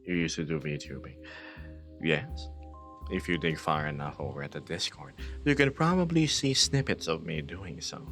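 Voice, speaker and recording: A low-pitched man hosts a casual live stream with a teasing, playful manner. His delivery swings widely up and down, and he laughs often. Faint music plays behind him. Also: animated, moderate pace, a bit bright. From Philippines. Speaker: livestreamer. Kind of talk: casual livestream chat with viewers.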